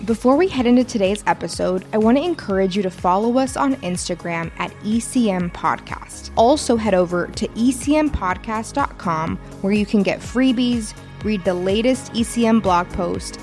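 An upbeat woman hosts a career podcast, introducing her guest with enthusiasm. Her pitch sits in the middle of the range, and faint music plays under her voice. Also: darkish tone. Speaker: podcast host, career coach. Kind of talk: podcast hosting.

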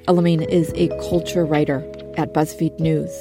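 A woman's voice: deep voice, little pitch movement, moderate pace, music in the background. A woman hosting a news radio program, narrating and interviewing in a conversational manner. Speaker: guest radio host.

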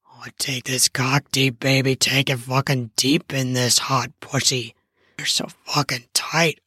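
A dominant male lover in an erotic audio drama, acted out by a woman. The voice drops low and husky, with slangy, possessive commands. The sound is dry and close. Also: steady tone, measured pace, pushed and bright, no echo, full character voice. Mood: aroused, commanding. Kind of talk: erotic audio story acting.

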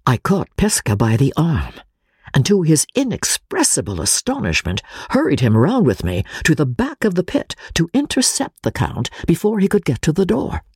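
A woman's voice: low-pitched, huge pitch swings, normal speed, darkish tone, breathy edge, no echo, studio quality. A woman narrating classic fiction as a dramatic, suspenseful audiobook reading with a theatrical delivery.

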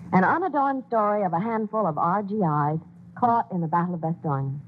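A woman's voice: medium pitch, expressive, a little fast, a little hiss or hum. A woman giving a chatty, persuasive scripted ad spot on an old radio show, heard as a tinny broadcast. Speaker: commercial spokeswoman.

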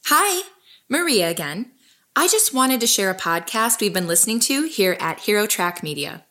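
An actress playing an investigative podcast host, delivering scripted documentary narration. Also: female voice, mid-range voice, sing-song, normal speed, sharp tone. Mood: friendly, promotional. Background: music.

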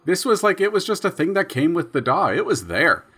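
A man's voice: high-pitched, very animated, brisk, bright, forceful tone, husky. A young man co-hosting a casual podcast chat, explaining his sound design habits in a thoughtful, self-deprecating way. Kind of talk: casual conversation.

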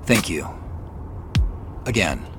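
A man's voice: sits low, very animated, takes it slowly, over music, heavily acted. A man playing a polite, uneasy professional in a naturalistic audio drama, speaking in an understated, hesitant way.

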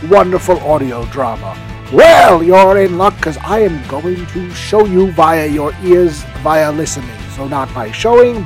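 A man's voice: high-pitched, low-quality recording. A man hosting a podcast, giving a casual comic recommendation in a whimsical, enthusiastic, rambling way. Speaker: podcast host recommending audio dramas. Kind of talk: casual comic monologue promotion.

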